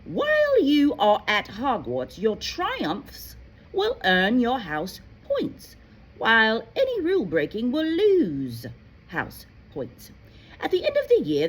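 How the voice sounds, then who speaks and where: A woman voicing a stern, formal senior witch professor in a storybook, with declamatory, deliberate delivery. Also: on the high side, slightly rough, pitched above the performer's own voice, heavily acted. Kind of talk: storybook character voice.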